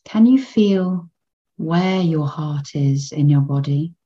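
A woman guiding a children's meditation in a gentle, soothing manner, in measured phrases with long pauses between them. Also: deep voice, highs cut off. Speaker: meditation guide.

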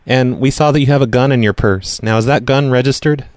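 Deadpan guy prank calling people, stammery and rambling, really dry close sound. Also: medium pitch, brisk, dry recording. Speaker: prank caller, show host. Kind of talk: deadpan prank phone call, casual chat.

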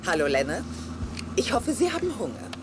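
A self-assured older lady, expressive. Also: lowish voice, brisk, very noisy. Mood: gracious. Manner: polite, sly, formal. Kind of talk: German sitcom dub.

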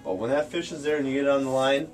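A man's voice: medium pitch, racing, darkish tone, low-quality recording. A young American man casually explaining things in a matter-of-fact, tongue-in-cheek way, like a guide on a tour. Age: young adult. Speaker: fisherman guest showing his ice shack. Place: small wooden ice fishing shack. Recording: music playing behind the voice. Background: guitar music.

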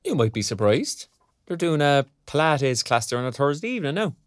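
Audio drama: chatty younger Irish father, put-upon but good-natured, lively intonation, dry recording. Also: a little high, brisk, no echo. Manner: colloquial, animated.

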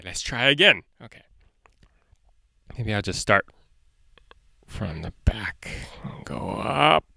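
A man's voice: mid-range voice, vocal fry, a bit bright, dry recording, clean studio sound. A man, perhaps in his thirties, hosting a casual step-by-step drawing lesson for children in a playful way, talking to himself as he draws. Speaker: drawing tutorial host for children.

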